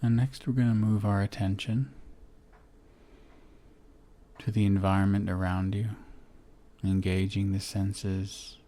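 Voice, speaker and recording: A man leading a guided meditation in a gentle, soothing manner, with long pauses between short phrases. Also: lowish voice, flat delivery. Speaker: guide of a relaxation exercise. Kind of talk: guided meditation, body scan.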